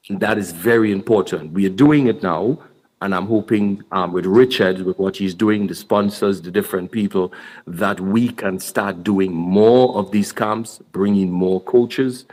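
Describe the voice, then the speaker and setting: A man's voice: fairly low pitch, darkish tone, poor audio. A man from Trinidad answering audience questions at a sports symposium, earnest and explanatory, often restarting his words. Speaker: basketball program speaker.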